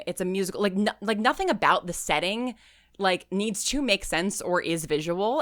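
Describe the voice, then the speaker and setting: A woman speaking as a guest in a casual, reflective podcast interview, effusive and halting, with emphatic repetition. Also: on the low side, fairly bright tone, no echo, studio quality. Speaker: interview guest, audio fiction writer and director.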